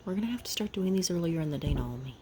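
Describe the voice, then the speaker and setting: A woman's voice: lowish voice, expressive, rapid-fire, mellow, slight background noise. An adult woman talking casually at home as a parent supervising children.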